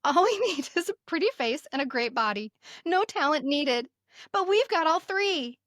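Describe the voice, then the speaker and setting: A middle-aged American woman co-hosting a podcast, chatting casually and reading aloud with expressive emphasis. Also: high voice, no echo, studio quality. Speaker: podcast co-host. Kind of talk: casual chat with animated reading aloud of old notes.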